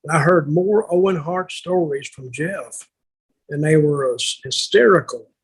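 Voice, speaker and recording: An older man with a husky voice telling stories casually on a podcast, blunt and plainspoken, halting and repeating words. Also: on the high side, poor audio. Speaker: podcast co-host, wrestling veteran.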